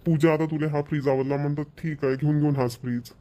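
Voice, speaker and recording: Guy doing a soft indulgent young husband, gentle and giving in. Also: a little high, steady tone, fast, lightly acted. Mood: doting. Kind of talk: cartoon voice acting.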